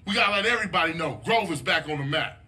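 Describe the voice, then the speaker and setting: A man's voice: on the high side, animated, fast, sharp tone. An actor playing a stern, hot-tempered street gangster in a video game, preachy and scolding in slangy speech, fiercely loyal to his neighborhood. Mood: firm, preaching. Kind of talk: dramatic game cutscene dialogue with street slang.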